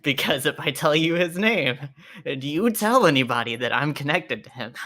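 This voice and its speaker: A woman plays a guarded, sword-bearing widow in a tabletop roleplay. Her voice is extremely low for a woman, and she speaks in a measured way, as someone keeping secrets. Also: lively intonation, a bit bright.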